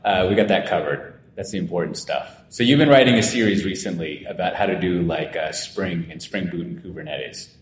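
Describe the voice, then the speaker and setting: Low-voiced male podcast host, echoey room. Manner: easygoing, chatty interviewer with quick affirmations. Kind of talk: casual interview. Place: conference venue. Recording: echoey room with faint rumble.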